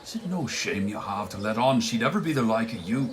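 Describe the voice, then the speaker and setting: An actor playing a burly, gruff, jealous Irish village blacksmith in a radio play, blunt and menacing. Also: male voice, fairly low pitch, lively intonation, brisk, slight background noise, natural voice. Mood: mocking, threatening. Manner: menacing, blunt dialect speech. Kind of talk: theatrical radio play acting.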